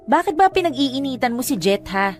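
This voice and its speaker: A proud, jobless younger sister with a Filipino accent in a radio drama. Her voice sits fairly high, combative and quick to answer back with sarcasm. Music runs clearly behind her. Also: big pitch swings. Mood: defensive, combative. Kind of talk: Tagalog radio drama acting.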